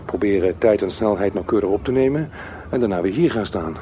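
A brooding loner played by a man muses aloud in a radio drama. His pitch swings widely as he speaks in deliberate, poetic phrases that sometimes halt. Faint noise and outdoor ambience sit behind him. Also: expressive, warm tone, natural voice. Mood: agitated, hesitant. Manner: deliberate, poetic, halting self-talk. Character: brooding solitary man musing darkly by railway tracks. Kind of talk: literary interior monologue in Dutch radio drama. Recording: noisy recording with outdoor ambience.

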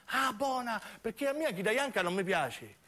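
Man playing a nagging high-pitched southern Italian boy. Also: lively intonation, normal speed, pushed and bright. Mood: curious, fussy. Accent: southern Italian dialect. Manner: nagging, inquisitive. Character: fussy, food-curious southern Italian boy, full of questions.

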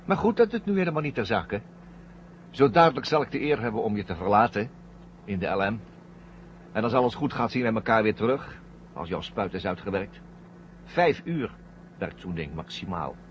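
A man acting a coldly triumphant astronaut who has turned traitor, in a vintage science fiction radio play. His taunts are sarcastic and swing widely in pitch. The recording is old and muffled, with faint noise behind him. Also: expressive, normal speed, long pauses, close to an everyday voice. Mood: mocking.

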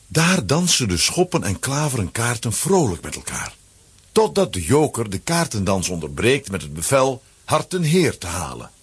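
A man narrates a children's story from a script. His voice is fairly low and very husky, with lively melody. The recording is dry. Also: no echo.

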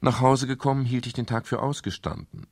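An actor playing a weary, gentlemanly middle-aged private detective in a German radio crime drama, speaking in a measured, formal and restrained way. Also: male voice, medium pitch, fairly even intonation, natural voice. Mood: measured, reflective. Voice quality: gravelly, husky.

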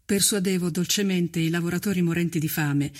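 High-pitched male storyteller, solemn delivery. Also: fairly even intonation, brisk. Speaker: narrator, storyteller. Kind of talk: dramatic scripted historical narration for radio.

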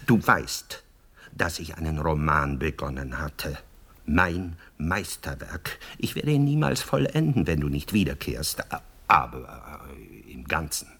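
An actor in a radio drama playing a jealous, self-pitying novelist lover, theatrical, bitter and mocking, swinging from mutters to outbursts. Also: male voice, very deep voice, very animated, unhurried, vocal fry, a bit bright, husky, heavily acted.

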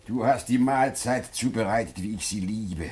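A man's voice: lowish voice, normal speed, darkish tone, lightly acted. An actor playing a wicked, rich master who relishes his trickery, theatrical, in a German radio play. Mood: gloating, relishing.

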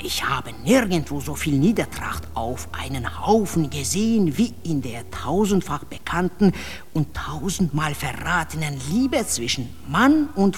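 An actress playing a devout, severe woman who laments a godless land in a solemn radio drama monologue, moving between breathy passages and declamation. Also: low-pitched, heavily acted. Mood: accusing, lamenting. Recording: long reverb with music behind.

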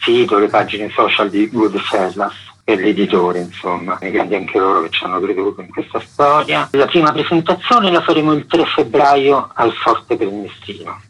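A man's voice: lowish voice, expressive, normal speed, fairly bright tone, breathy edge, rough recording. A middle-aged man, talking discursively in a casual radio interview, with frequent filler words. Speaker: interview guest, graphic artist.

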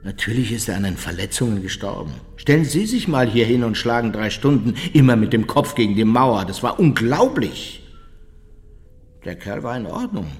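An actor playing an unrepentant former Nazi officer, giving an emphatic, self-justifying account in a dramatized interview. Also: male voice, mid-range voice, sing-song, moderate pace, darkish tone. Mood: boastful, self-justifying. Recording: long reverb.

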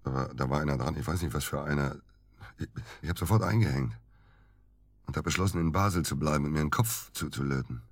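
A man acting in a German radio drama as an evasive, dejected doctor under suspicion, husky and halting. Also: rumbling low, no room sound, heavily acted. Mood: dejected, defensive. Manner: halting, hesitant, defensive.

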